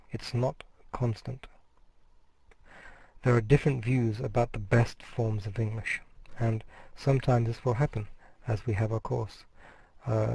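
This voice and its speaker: Mellow-voiced man giving a measured lecture. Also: medium pitch, creaky, dry recording, rough recording. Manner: measured, explanatory, restarts words mid-phrase. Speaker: university lecturer. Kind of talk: recorded course lecture.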